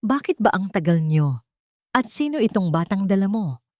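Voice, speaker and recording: A woman voicing a shrill, commanding villainess in an audio drama story, condescending and impatient in manner. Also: sing-song, moderate pace, a little mellow, no echo, studio quality, close to an everyday voice. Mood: irritated.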